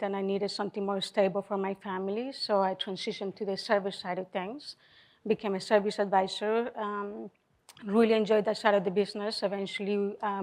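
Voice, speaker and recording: A middle-aged woman from Colombia, with a Colombian Spanish accent, speaking on a panel into a handheld mic with frequent fillers. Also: mid-range voice. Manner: plain, steady, with frequent fillers. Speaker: panelist, general manager. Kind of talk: panel talk. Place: event venue. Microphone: handheld microphone.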